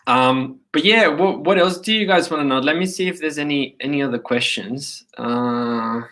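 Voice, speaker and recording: A man's voice: a little high, moderate pace, pushed and bright. Chilean man with a fading accent, rambling. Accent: Chilean Spanish, partly Australian. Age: 30s. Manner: rambling, many fillers and restarts. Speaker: livestream host. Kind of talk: casual livestream chat.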